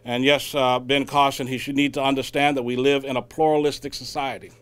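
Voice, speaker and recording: A man speaking emphatically and deliberately at a news conference, repeating phrases for weight as he makes a public statement. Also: medium pitch, level tone, fairly bright tone, smooth. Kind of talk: public statement, impassioned speech.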